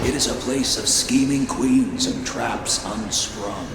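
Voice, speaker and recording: A man's voice: mid-range voice, slow pace, gravelly. An actor playing a mocking, theatrical villain in a video game, grandiose, poetic and taunting, drawing out his words. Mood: ominous, taunting. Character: mocking, theatrical villain haunting a cursed realm. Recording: echoing voice over noisy ambience.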